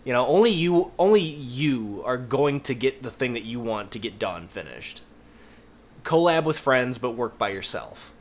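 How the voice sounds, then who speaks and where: A man's voice: mid-range voice, lively intonation, moderate pace. A man co-hosting a podcast, telling rambling anecdotes in casual chat and swearing freely. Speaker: podcast host. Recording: noticeable background noise.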